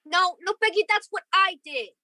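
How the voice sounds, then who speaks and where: A woman's voice: extremely high pitch, moderate pace, pushed and bright, dry recording, heavily acted. A woman playing a hot-tempered, protective lover in a radio crime drama. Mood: bitter. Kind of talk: old-time radio crime drama acting.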